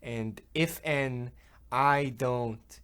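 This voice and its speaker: A man playing an earnest, excitable Depression-era boy in a comedy radio play, with halting, folksy old-time exclamations. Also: medium pitch, clean tone. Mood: sweetly cheerful.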